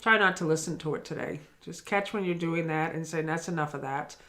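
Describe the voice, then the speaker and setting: A woman's voice: low-pitched, fairly quick, pushed and bright. Woman, spiritual teacher, earnest and encouraging, talking straight to camera. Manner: earnest and encouraging, speaks to the listener directly. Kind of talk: daily spiritual lesson talk.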